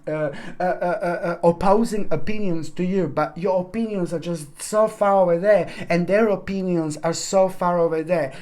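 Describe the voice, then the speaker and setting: A woman's voice: on the low side, darkish tone. A woman reading a weekly tarot forecast, talking in a gushing, effusive way with many fillers and restarts, and calling her listeners by pet names. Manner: addresses listeners as "my gorgeous" sign. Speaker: tarot reader.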